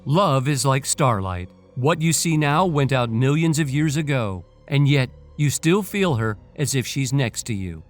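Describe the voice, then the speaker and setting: A man voicing a calm, wise astronomer in a storybook tale. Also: mid-range voice, unhurried, hardly any pauses, faint music behind, natural voice.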